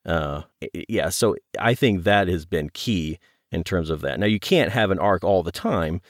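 Low guy being interviewed, thinks out loud, keeps rewording stuff, really dry close sound. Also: animated, dry recording. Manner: thoughtful, halting, circling back to rephrase. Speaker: guest, audio drama producer. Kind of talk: conversational interview commentary.

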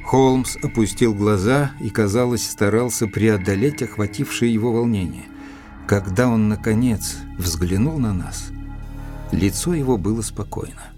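An actor playing a sober gentleman storyteller, narrating an audio drama in a measured, literary way. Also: fairly low pitch, soft background music, natural voice.

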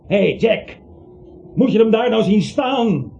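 An actor playing a mocking gang member with a gravelly drawl in a Dutch radio play. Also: male voice, high-pitched, very animated, measured pace, darkish tone, exaggerated voice. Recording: muffled, noisy radio play recording.

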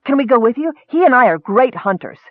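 A man voicing an eager, excitable young male kender who gushes with wonder in an audiobook fantasy tale. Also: very high voice, brisk, no echo, narrow, tinny audio, voice pushed up, exaggerated voice. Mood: eager pleading. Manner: gushing, breathless rush of questions.

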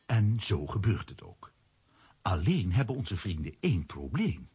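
A husky narrator telling a children's adventure. The voice is low and very rough, with hugely varied intonation. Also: male voice, very animated, dark tone, full character voice. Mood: storytelling. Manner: measured storytelling. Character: storytelling male narrator of a comic adventure. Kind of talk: scripted children's audio drama narration.

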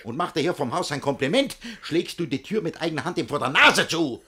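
An actor playing a domineering, scheming, jealous older guardian in a verse comedy radio play, speaking in a theatrical, lecturing way with a husky voice. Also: male voice, a little high, animated, fairly quick, bright, forceful tone, full character voice. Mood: angry, commanding.

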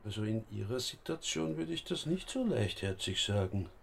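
An actor with an Austrian accent playing a dry, cautious police inspector in a radio drama, formal and firm. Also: male voice, lowish voice, big pitch swings, gravelly, a little hiss or hum. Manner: measured, formal questioning.

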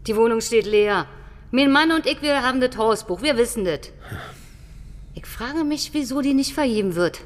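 A woman's voice: on the high side, lively intonation, moderate pace, close to an everyday voice. Radio drama bit part: actress as nosy, officious female neighbour, curt, reverberant hallway sound. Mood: suspicious, curt. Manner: brusque, nosy. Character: suspicious, officious female apartment-block neighbour. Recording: long reverb of a stairwell.

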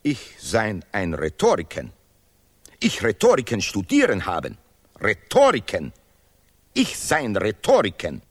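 An actor playing a pompous, sanctimonious male laureate who declaims vehemently in deliberately broken grammar in an absurdist radio play. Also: lowish voice, wildly swooping pitch, breathy edge, exaggerated voice. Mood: pompous, lecturing.

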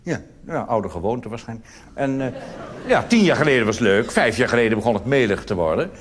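A man's voice: medium pitch, big pitch swings, a little fast. A male cabaret performer delivering a live comedy monologue in a conversational, rambling way with asides and tag questions. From Netherlands. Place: on stage in a theatre before an audience. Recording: noisy old live theatre recording.

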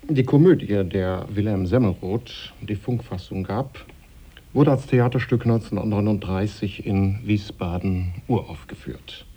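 A man's voice: fairly low pitch, measured pace, warm tone, slightly rough. A man hosting a formal radio interview in a studio, with carefully prepared questions.

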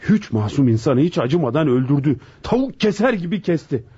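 Husky, mellow, passionate police detective. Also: male voice, fairly high pitch, very animated, brisk, no echo, dull audio, heavily acted. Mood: bitter indignation. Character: indignant, passionate male police detective, junior partner. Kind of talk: Turkish radio drama acting.

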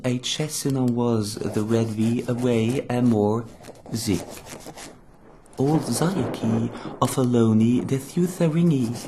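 Slow-spoken earnest young English gentleman played by a man. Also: medium pitch, slight background noise, lightly acted. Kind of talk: radio drama acting, reading letters and journal.

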